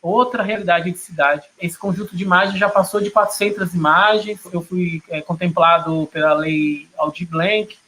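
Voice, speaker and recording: A man's voice: high-pitched, normal speed, hardly any pauses, sharp tone, a little hiss or hum, poor audio. A middle-aged man with a São Paulo state Brazilian accent, a photographer talking reflectively about his own work.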